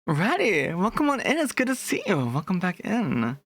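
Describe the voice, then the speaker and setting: A man's voice: high-pitched, wildly swooping pitch, moderate pace, fairly bright tone. A young man chatting casually on a live stream, rambling and restarting his sentences as he greets his chat.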